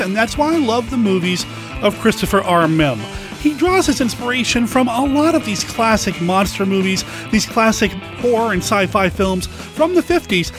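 A man's voice: high voice, a bit bright. A man presenting a scripted movie recommendation as a podcaster, in a polished and enthusiastic manner. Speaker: podcast producer and guest segment presenter.

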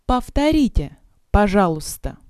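A woman's voice: mid-range voice, very animated, a little slow, a little mellow, no room sound, clean studio sound. A woman teaching vocabulary in a drill, saying each phrase with careful enunciation, then breaking it up word by word and repeating it. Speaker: language teacher.

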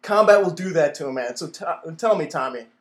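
A man playing a pompous, rambling retired admiral who runs a radio station, deadpan, in a mock old-time radio play. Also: on the high side, brisk, close to an everyday voice. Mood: blustering. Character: pompous, addled retired navy admiral and radio boss, male.

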